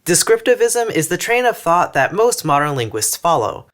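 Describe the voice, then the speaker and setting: Wry, animated Texan-raised man narrating. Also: a little high, normal speed. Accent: mostly General American with slight Texan features. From northern Texas. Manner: wry, mildly sarcastic, emphatic. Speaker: language YouTuber. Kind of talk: scripted video essay narration.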